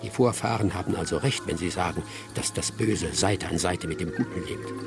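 An actor playing a wry, musing old village hunter who narrates a radio drama. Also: male voice, deep voice, a little fast, creaky, music in the background.

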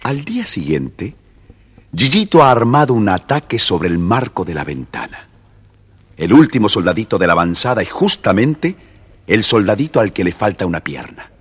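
A man's voice: lowish voice, big pitch swings, moderate pace, breathy edge. A man narrating a scripted children's story as storyteller.

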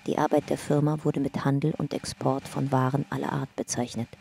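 A woman's voice: extremely low pitch, level tone, fairly quick, vocal fry, dark tone, faint music behind. A woman narrating an investigation file in a factual, detached, scripted radio drama style.

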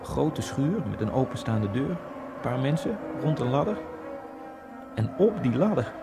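An actor voices a man recalling his new life in a brooding, dreamy inner monologue, now and then bursting out. His pitch sits in a middle register. Music plays clearly beneath the voice. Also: big pitch swings, a little slow. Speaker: Egyptian immigrant narrator. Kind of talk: radio drama monologue.

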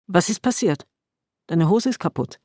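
A woman's voice: fairly low pitch, lively intonation, normal speed, very noisy, close to an everyday voice. An actress playing a strict, protective mother who gives her young son orders in a radio drama. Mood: stern. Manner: stern, commanding.

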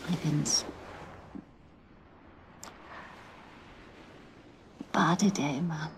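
An actress playing a giddy, infatuated, lonely woman in a radio drama, speaking intimately and haltingly in a breathy voice that often sinks to a whisper. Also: deep voice, fairly even intonation, a little hiss or hum. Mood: excited, confiding. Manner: halting, emotional, swinging between murmur and outburst.

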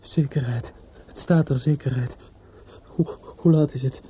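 An actor in a radio play playing a distraught, bitter young man in grief, speaking haltingly with stammers and repetitions. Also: medium pitch, fairly even intonation, mellow, a little hiss or hum, lightly acted. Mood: obsessive, unsteady. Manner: halting, stammering, repeats himself. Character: distraught, bitter young bridegroom-to-be, grieving and obsessive. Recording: dull lo-fi radio play recording.

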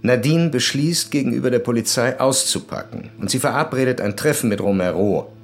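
An actor playing a determined, grim police commissaire in a crime radio drama, speaking deliberately and formally. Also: male voice, mid-range voice, close to an everyday voice. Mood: formal, insistent.

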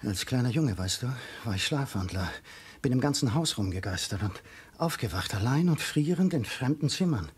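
An actor playing an anxious, haunted husband in a German radio crime drama, with a dramatic delivery that swings between hushed and urgent. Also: male voice, mid-range voice, animated, normal speed, husky. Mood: haunted, uneasy.

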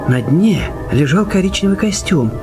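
High-pitched male narrator of a detective story. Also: lively intonation, moderate pace. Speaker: narrator in an audio drama. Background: music.

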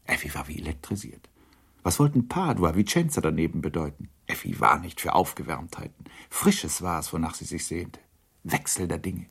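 A man narrating a literary radio drama in a measured, formal manner. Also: low-pitched, sing-song, vocal fry, fairly bright tone, husky.

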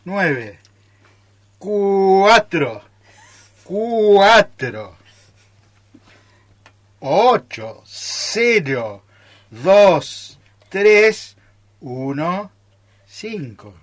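Argentine guy joking around on live radio, slow talker, kinda high voice. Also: very animated. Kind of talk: casual live radio chat. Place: radio studio.

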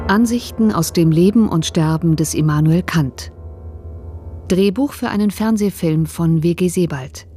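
Female announcer for radio drama titles, lowish voice, clear music bed. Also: measured pace, dark tone. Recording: music playing behind voice.

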